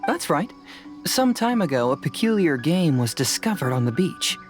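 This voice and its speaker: Composed investigator with lively intonation. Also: female voice, deep voice, music in the background. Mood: explanatory. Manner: measured, explanatory, self-assured. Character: composed, analytical investigator in a fantasy game. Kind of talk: animated game dialogue acting.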